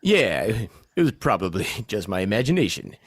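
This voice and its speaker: A man playing a bossy, insecure zombie hunter in a comedic audio play, with a husky voice and an excitable, commanding delivery. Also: on the low side, normal speed, no echo, studio quality, exaggerated voice. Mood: bossy, puzzled. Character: bossy, insecure male zombie-hunting team leader.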